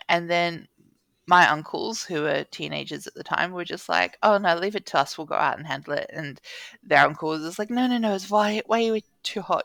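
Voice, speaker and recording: A woman's voice: deep voice, creaky, fairly bright tone, no echo. An Australian woman co-hosting a casual chat, telling anecdotes with informal, dry humour and acted-out quotes. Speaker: podcast co-host.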